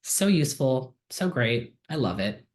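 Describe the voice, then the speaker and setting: A man talks casually into his computer mic while sharing his screen. He sounds friendly and upbeat and keeps filling pauses with ums and uhs. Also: mid-range voice, big pitch swings. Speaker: student giving a software demo. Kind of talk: casual screen-share walkthrough.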